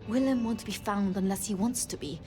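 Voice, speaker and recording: An actress voicing a gentle, anxious, idealistic female vampire in a video game, with a hesitant, earnest manner. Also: mid-range voice, brisk, music in the background, natural voice. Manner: hesitant, earnest, flustered, polite.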